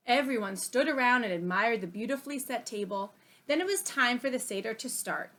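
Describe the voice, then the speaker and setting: Woman, high-pitched, lively intonation, expressive children's storybook narrator. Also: a little fast. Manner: expressive, acts out dialogue between plain narration. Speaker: storybook reader. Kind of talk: animated children's read-aloud.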